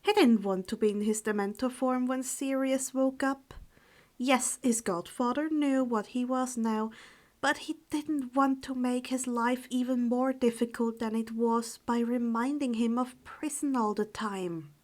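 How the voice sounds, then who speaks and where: A woman reading a story aloud as an audiobook narrator, in an expressive scripted style. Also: fairly high pitch, a little slow. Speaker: audiobook reader of fan fiction.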